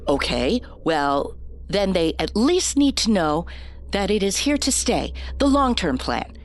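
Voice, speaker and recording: An actress playing an earnest, careful campaign adviser, nervous but composed and deferential, in an audio drama. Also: female voice, medium pitch, sing-song, unhurried. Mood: insistent. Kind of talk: scripted audio drama acting.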